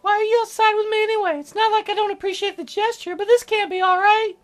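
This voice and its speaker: A man's voice: very high voice, steady tone, fast talker, fairly bright tone, soft background music, voice pushed up. A man voicing a teasing, wistful, stubborn young sick girl in a visual novel, with a hesitant, pleading way of talking. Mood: scolding.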